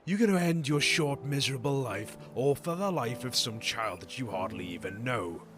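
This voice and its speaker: A man's voice: mid-range voice, lively intonation, normal speed, over music, close to an everyday voice. An actor playing a menacing, controlling male crime boss villain in an audio drama, with a cold, commanding manner. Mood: menacing, angry.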